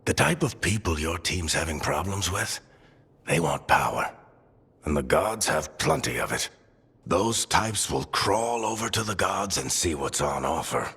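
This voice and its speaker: Wry, fatherly rogue for a game: deeply rasping, expressive swings in pitch, echoing hall. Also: a little slow, full character voice. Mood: advising. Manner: wry, folksy gambling talk, reassuring. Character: seasoned, wry, fatherly male rogue mentor. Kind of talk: video game voice acting. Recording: long reverberant hall.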